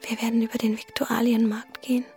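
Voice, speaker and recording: High, breathy young Bavarian girl. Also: little pitch movement, a little fast, mellow, soft background music. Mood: dreamy, secretive. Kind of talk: radio drama monologue and dialogue.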